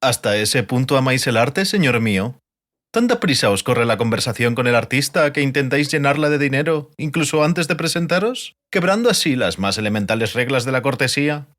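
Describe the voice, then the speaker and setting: A man's voice: mid-range voice, animated, fairly quick, a bit bright, pitched above the performer's own voice, lightly acted. A man voicing a haughty, sarcastic bard in an audiobook, with a dry and pompous manner. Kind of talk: audiobook character voice.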